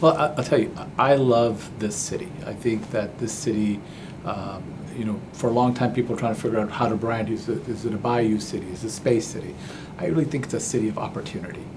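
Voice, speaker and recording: A man's voice: fairly low pitch, quick pace. Friendly man guest, noisy recording. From Pakistan. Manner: friendly, repeats words at starts of phrases. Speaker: interview guest, business founder. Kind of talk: casual interview answers.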